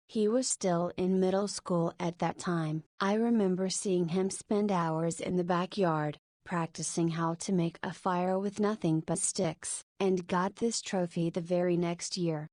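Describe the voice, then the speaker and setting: A woman voicing a 40-year-old single mother and school principal in a visual novel, who muses out loud to herself. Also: on the low side, no echo, natural voice. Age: 40s.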